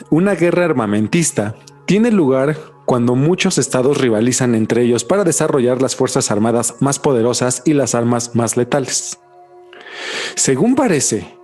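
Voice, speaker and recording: A man's voice: mid-range voice, darkish tone. Male podcast host over music. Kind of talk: podcast presenting, scripted intros and casual chat.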